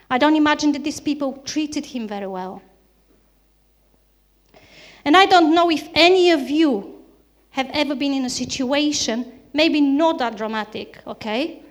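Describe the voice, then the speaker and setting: A woman from Bulgaria, a native Bulgarian speaker with a Bulgarian accent, preaching a sermon in an earnest style with repeated emphatic phrases. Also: high voice.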